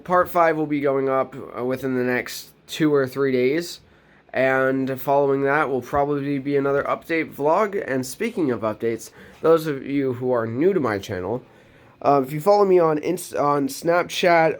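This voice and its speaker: A teenage boy vlogging from his bedroom, nasal from a cold, rambling with lots of ums and restarts as he moves around the camera mic. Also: medium pitch. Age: teens. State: nasal, getting over a cold. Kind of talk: casual vlog.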